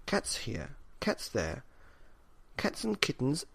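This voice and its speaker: Male bedtime storyteller: unhurried pace, very lively intonation, dramatic emphasis on key words. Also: mid-range voice, creaky, slight background noise. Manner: dramatic emphasis, repeats in rhythm. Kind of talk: expressive children's bedtime story narration.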